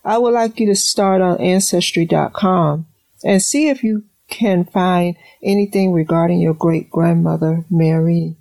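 A woman playing a caring, composed middle-aged mother with measured, formal wording in an audio drama. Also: fairly low pitch, fairly even intonation, gravelly, warm tone, no room sound.

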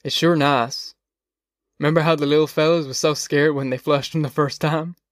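A man's voice: a little high, steady tone, normal speed, no room sound, natural voice. A man voicing a wry, earthy farm father with a blunt, folksy manner in an audiobook. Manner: folksy, dry-humored, blunt.

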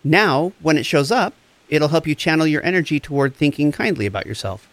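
Gentle higher-voiced male therapist played by an actor. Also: animated, no echo. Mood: reassuring, gentle. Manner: reassuring, deliberate, therapeutic phrasing. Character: gentle, encouraging male empath therapist and advocate. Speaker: actor in a fiction podcast. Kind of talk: scripted audio drama, counseling dialogue.

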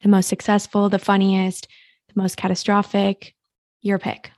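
Even-toned woman interviewer, dry sound. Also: mid-range voice, moderate pace, vocal fry, no echo. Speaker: podcast co-host, interviewer. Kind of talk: podcast interview questioning.

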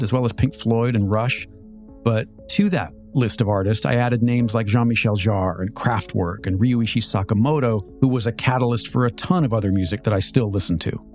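A man in his fifties with an American accent, telling a scripted personal story as a podcast host in a measured, reflective, articulate way. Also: mid-range voice, a little mellow. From Southern California, United States. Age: 50s. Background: music.

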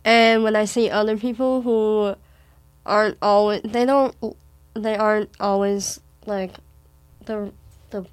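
A teenage girl from Wisconsin with a Wisconsin accent, talking with her mother in a thoughtful, halting way with frequent restarts. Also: fairly high pitch, clean tone, no echo. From Wisconsin, USA. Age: teens. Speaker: daughter in conversation with her mother. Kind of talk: casual conversation.

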